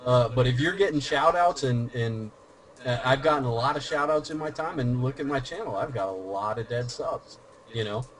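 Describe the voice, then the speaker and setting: A man with a mid-range voice talks earnestly and steadily in casual podcast chat. He explains his views like a mentor, calm and measured. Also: mid-range voice, low-quality recording. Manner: earnest, advice-giving, steady. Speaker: YouTube commentator.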